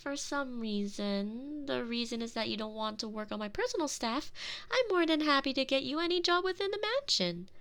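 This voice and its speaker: A spoiled young lady of high birth, acted by a woman in an intimate audio roleplay. Her voice sits fairly high and moves around expressively as she coaxes and dotes. She is possessive and used to getting her way. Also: heavily acted. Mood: coaxing, eager. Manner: gushing, coaxing, expressive and intimate. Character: doting, possessive young noblewoman, spoiled and insistent. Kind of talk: scripted roleplay audio addressed to the listener.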